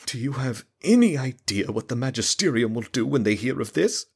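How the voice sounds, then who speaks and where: A man's voice: mid-range voice, sing-song, brisk, vocal fry, darkish tone, breathy edge, pitched above the performer's own voice, full character voice. A man voicing an anxious, fretful male town mayor who pleads and frets.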